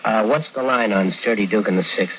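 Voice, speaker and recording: A man's voice: fairly low pitch, fairly quick, highs cut off, lightly acted. An actor playing a nervous man placing a big bet in a 1950s radio drama, hesitant and full of uh's.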